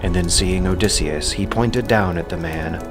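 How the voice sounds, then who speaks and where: A man's voice: low voice, moderate pace, music in the background. A man narrating an epic tale in a scripted storytelling style.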